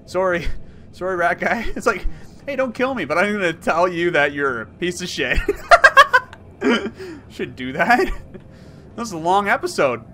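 A man in his thirties commenting on a horror game he plays, rambling and jokey, swinging from mumbles to yells. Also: sits high, big pitch swings, a little slow, pauses often, a little hiss or hum. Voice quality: hoarse at times. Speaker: gaming YouTuber. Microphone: headset.

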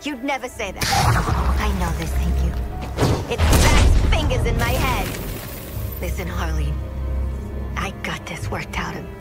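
A woman's voice: medium pitch, animated, unhurried, long pauses, lightly acted. An actress playing a manic, wisecracking young female supervillain in a video game, with slangy, theatrical, playful delivery. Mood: musing. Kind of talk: video game character acting. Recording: loud background music.

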